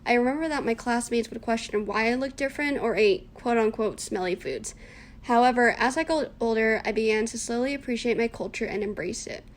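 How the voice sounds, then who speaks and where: A woman's voice: a little high, fairly quick. Earnest young woman reading answers. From United States. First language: Cantonese. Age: 20s. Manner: earnest, scripted, reflective. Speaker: interviewee. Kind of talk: read-aloud class project interview.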